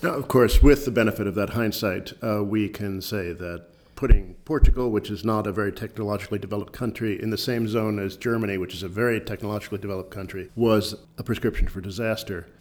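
A man hosting a radio show about economics and politics, introducing guests and asking measured questions. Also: lowish voice.

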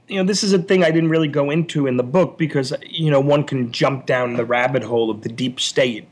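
A man speaking as an author being interviewed, explaining ideas in an analytical way with halting, repeated word starts. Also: medium pitch, breathy edge. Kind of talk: interview discussion, explanatory and scholarly.

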